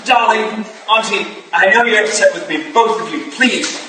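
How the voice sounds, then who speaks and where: A man in a staged reading, playing a flustered, eager young Victorian gentleman who speaks in agitated exclamations. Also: sits high, moderate pace, lightly acted. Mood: flustered, alarmed. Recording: echoey room from far off, noisy.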